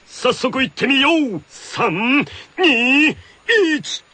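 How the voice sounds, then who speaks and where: A man hosting a radio show in a playful, excitable announcing style, switching between scripted segments and banter. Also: very high voice, very slow, husky, no echo. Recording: music playing behind the voice. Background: music.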